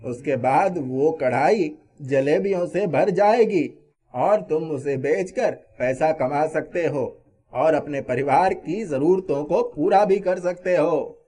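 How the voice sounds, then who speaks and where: A man playing a mysterious wise old man in an animated moral story, stern but helpful, with a measured, admonishing manner. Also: fairly high pitch. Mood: reassuring.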